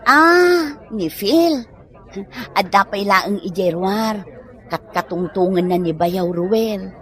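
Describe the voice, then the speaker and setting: An actress with a Filipino accent playing a brisk, generous elder sister home from abroad in a comedy audio drama, chirpy and assertive. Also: female voice, medium pitch, animated. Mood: explaining. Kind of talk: Ilocano comedy radio drama acting.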